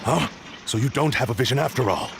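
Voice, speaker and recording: A man's voice: mid-range voice, fast, vocal fry, music in the background, lightly acted. An actor voicing a brusque, arrogant and impatient swordsman in a video game, curt and dismissive. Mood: probing, brusque. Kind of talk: English video game voice acting.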